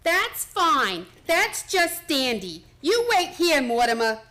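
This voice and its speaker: A woman's voice: high-pitched, sing-song, slow, pushed and bright, exaggerated voice. A woman playing a brash, skeptical, tired mother in a radio play, with blunt, slangy, exclamatory talk. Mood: irritated.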